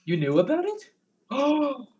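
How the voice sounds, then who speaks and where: A woman playing a bumbling, excitable amateur sleuth in a comic mystery, flustered and dramatic. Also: high-pitched, slow, heavily acted. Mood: excited, flustered.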